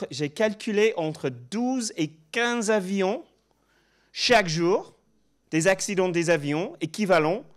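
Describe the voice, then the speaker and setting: Man, halting conference talk. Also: high voice. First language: not French. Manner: halting non-native phrasing, engages audience with questions. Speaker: guest speaker, professor. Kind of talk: conference presentation. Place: conference room before an audience.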